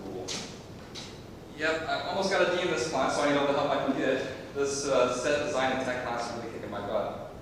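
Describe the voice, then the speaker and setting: Casting: man as oblivious young student volunteer, rambling quickly, heard in a reverberant noisy theater. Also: a bit bright, close to an everyday voice. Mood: chatty, oblivious. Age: young adult. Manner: rambling, earnest. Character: clueless, chatty young college student volunteer. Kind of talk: stage comedy acting. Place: theater stage. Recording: echoey theater, distant mic, rumbling noise.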